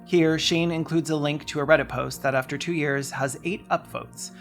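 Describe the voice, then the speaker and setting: A narrator reading a scripted video essay in a measured way, including emails read aloud. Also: mid-range voice, steady tone, creaky, soft background music.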